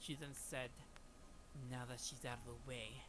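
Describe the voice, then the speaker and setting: Young male narrator, scripted first-person drama storytelling, medium pitch, noticeable noise behind the voice. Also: little pitch movement, a little slow.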